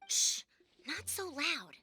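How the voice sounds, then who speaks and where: A woman's voice: high voice, very animated, slow, very noisy, full character voice. A woman voicing a proud scholar in a video game, speaking formally and pompously like a senior to juniors. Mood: conspiratorial. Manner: pompous, formal, old-fashioned wording. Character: proud youthful female scholar acting as an elder.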